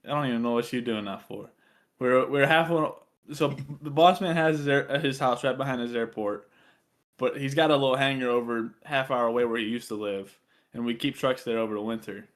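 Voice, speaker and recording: A slightly tired man co-hosting a podcast in a casual, conversational way. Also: medium pitch. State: a little tired. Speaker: podcast co-host. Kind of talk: casual chat.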